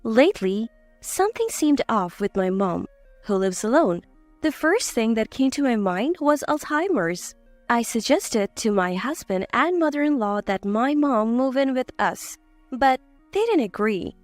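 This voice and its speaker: A woman's voice: a little high, lively intonation, a little slow, dry recording, faint music behind. A woman reading a scripted first-person story as its narrator.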